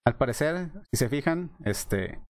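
A man's voice: mid-range voice, animated, a little slow, no echo, studio quality. A man with a Mexican accent reading a post aloud and commenting on it in a casual, sarcastic way. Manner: sarcastic, laughs at what he reads.

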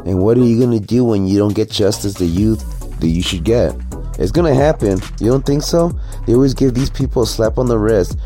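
A man's voice: lowish voice, brisk, mellow, soft background music. A man giving a casual, opinionated monologue in a blunt, sarcastic manner with plenty of swearing. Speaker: commentary vlogger.

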